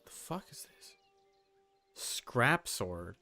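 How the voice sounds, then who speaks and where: Slow-talking man streaming a game. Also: mid-range voice, sing-song, pushed and bright, soft background music. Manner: muttering reactions in short bursts, casually profane. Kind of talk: casual live gameplay commentary.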